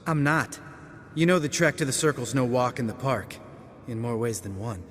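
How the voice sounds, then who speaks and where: A cynical game warrior with a medium-pitched male voice, acted by a man. He is blunt, sardonic and fond of needling. The sound has a long reverberant tail and some noise behind it. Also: expressive, moderate pace, a bit bright, close to an everyday voice. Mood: grudging, wry. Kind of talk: video game dialogue.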